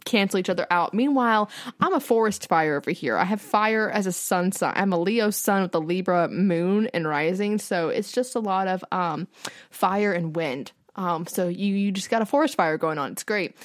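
A young woman co-hosting a casual podcast, chatting quickly and brightly, full of "like" and laughter. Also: medium pitch, dry recording, studio quality. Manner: fast, bubbly, filler-heavy talk with lots of laughing. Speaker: podcast co-host. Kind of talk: casual chat.

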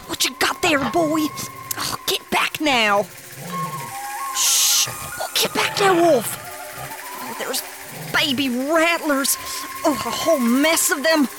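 A woman playing a no-nonsense frontier settler wife in a radio drama, with a rural American accent and a brisk, bossy, folksy way of scolding. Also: high voice, huge pitch swings, music in the background, exaggerated voice, rough recording. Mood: alarmed, determined.

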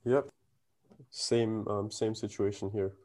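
A man from the Gulf, a guest on a podcast, talking in a thoughtful, measured way with pauses and ums. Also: on the low side, flat delivery, dark tone. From Gulf region. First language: not English. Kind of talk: casual chat.

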